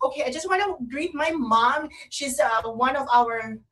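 A woman with a fairly high voice chats as co-host of a live show. She sounds a little far from the mic on a video call. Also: moderate pace, bright, forceful tone. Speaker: online radio show co-host. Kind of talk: casual chat, greeting viewers. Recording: distant video call microphone.

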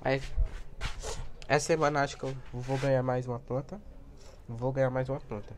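Hoarse young man, casual plant vlogger, phone-recorded outdoors with faint background noise. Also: medium pitch, little pitch movement, moderate pace. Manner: chatty, points things out to viewers. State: hoarse from a cold. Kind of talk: casual walkthrough vlog. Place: outdoor backyard garden area. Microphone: handheld phone camera.

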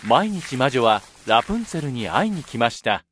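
A man's voice: medium pitch, very animated, moderate pace, over music. A man narrating a children's fairy tale from a script, as the storybook narrator.

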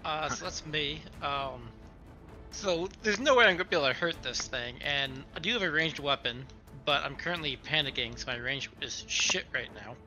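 A man playing a tabletop roleplaying game, chatting casually and thinking aloud in halting, repeated phrases. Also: a little high, lively intonation, sharp tone. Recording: dull lo-fi sound, faint music.

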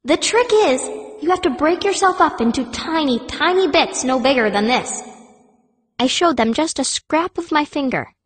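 A woman's voice: high-pitched, lively intonation, moderate pace. A woman voicing a fierce, cunning young girl mage who narrates her own story as an audiobook, with long reverb. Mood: sly, persuasive. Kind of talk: first-person audiobook narration.

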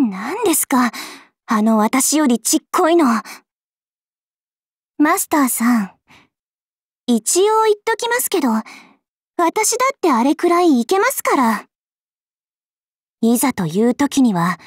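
A woman's voice: high-pitched, very animated, slow pace, pauses often, no room sound, clean studio sound, heavily acted. A woman voicing a flustered, cheeky little girl demon in an anime game, polite but defensive and teasing. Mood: cheeky, challenging. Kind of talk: Japanese anime game character lines.

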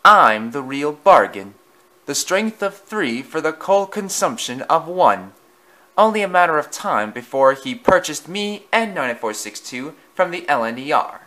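A man's voice: fairly high pitch, very animated, a little slow, bright, forceful tone, voice pushed up, heavily acted, rough recording. A man voicing a pompous, boastful big male steam engine who talks in a grand, haughty way.

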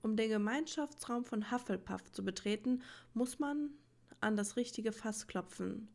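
A woman's voice: a little high, unhurried, vocal fry, darkish tone. A woman playing a video game, thinking aloud in colloquial asides and reading game text. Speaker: let's play host. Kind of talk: casual gaming commentary with read-aloud game text.

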